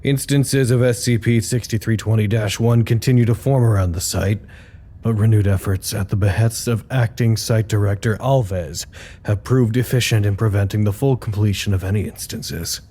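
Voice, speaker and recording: Formal site doctor filing official reports, deepish mellow voice, audio drama. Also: male voice, steady tone, moderate pace, slightly rough. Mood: formal, matter-of-fact. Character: weary male doctor, foundation communications head, dutiful and halting.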